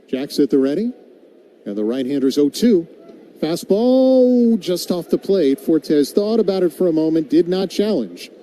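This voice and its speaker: Male baseball announcer, very dark mellow tone, slightly high pitch, live play-by-play, faint outdoor noise behind. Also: animated, measured pace. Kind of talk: live radio baseball commentary. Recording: background noise of an outdoor ballpark.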